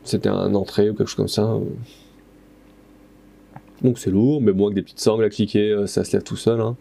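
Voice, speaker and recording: A man's voice: fairly low pitch, steady tone, a little fast, gravelly, dark tone. A man in his thirties, a plasterer, narrating his stone-wall renovation casually, with many fillers and self-corrections, recorded on a head-mounted camera in a stone room. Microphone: head-mounted action camera.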